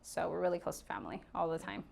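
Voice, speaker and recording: A woman's voice: on the low side, lively intonation, fast talker, creaky, a little mellow. A woman in her thirties from Arizona, a real estate agent talking openly and chattily as a podcast guest. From Arizona, USA. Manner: open, chatty, laughs easily. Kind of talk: casual podcast interview.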